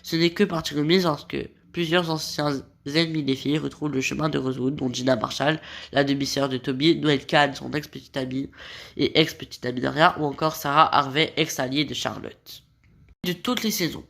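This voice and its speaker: A man's voice: on the high side, gravelly, a bit bright. A man with a cold summarizing a TV series plot for a video, often stumbling over his words and correcting himself. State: has a cold. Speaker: video creator. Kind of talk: series recap narration.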